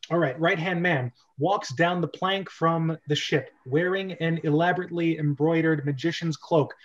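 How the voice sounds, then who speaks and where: A man's voice: a little high, normal speed. A man narrating stage directions in a casual amateur script reading, breaking off into chatty asides. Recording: dull, lo-fi voice recording.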